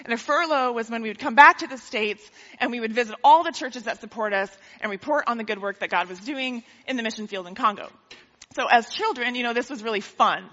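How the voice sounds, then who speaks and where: Bright-voiced joking woman preacher in a hall. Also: on the high side, brisk. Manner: joking, teasing, laughs often. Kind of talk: sermon with playful jokes. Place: church sanctuary. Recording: lo-fi hall recording with long reverb.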